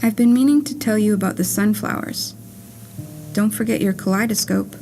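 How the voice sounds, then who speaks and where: A woman plays a wry, whimsical letter writer speaking from beyond, in a poetic puppet-theater monologue. Her mid-pitched voice has a clear creak at its edges. She reads deliberately and with measure, over faint music. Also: fairly even intonation, dark tone, lightly acted. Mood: wry, wistful. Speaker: writer and narrator reading her play. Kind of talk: scripted poetic monologue, letter read aloud.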